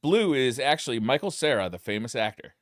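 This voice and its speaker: A man's voice: medium pitch, sing-song, a little fast, no echo, clean studio sound. A man co-hosting a casual podcast, reading chat questions aloud and adding wry, laughing asides.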